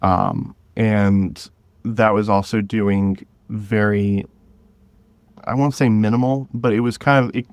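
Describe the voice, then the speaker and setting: A man's voice: fairly low pitch, no room sound. A man talking casually as an interview guest, thinking aloud and correcting himself as he goes. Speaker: guest, independent audio drama creator.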